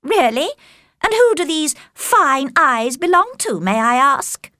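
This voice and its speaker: A woman's voice: sits high, sing-song, takes it slowly, pushed and bright, no room sound, studio quality, pitched above the performer's own voice, full character voice. A woman voicing a haughty young society lady in a storybook, with sneering, exaggerated sweetness. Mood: mocking.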